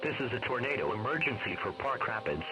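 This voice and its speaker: A man's voice: mid-range voice, big pitch swings, quick pace, rough recording. A man's voice, like text-to-speech, reading a scripted emergency weather bulletin over radio with sound behind it. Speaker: weather radio warning announcer.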